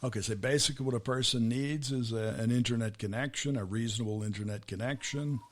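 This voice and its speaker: A man's voice: mid-range voice, fast talker. A middle-aged American man hosting a podcast interview, asking questions in a loose, rambling way with restarts. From United States. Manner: conversational, asks questions in rambling runs with restarts. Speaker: podcast host.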